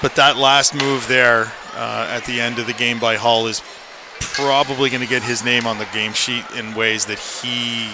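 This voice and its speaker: Man, mid-pitched, hockey color commentator, measured opinions, live radio, noisy rink background. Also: steady tone. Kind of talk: live radio sports analysis. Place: indoor hockey arena. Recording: noisy live arena broadcast. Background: loud rink ambience.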